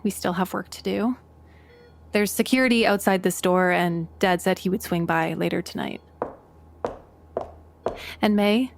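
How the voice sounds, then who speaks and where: A woman's voice: medium pitch, a little slow, creaky, long pauses, a little hiss or hum. A woman playing a composed, protective woman in law enforcement in an audio drama. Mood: reassuring.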